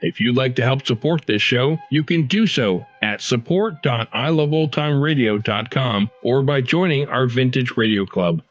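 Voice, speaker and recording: A man's voice: mid-range voice, normal speed, no echo. A man hosting a podcast with scripted narration. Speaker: podcast host. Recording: dry, slightly dull close recording.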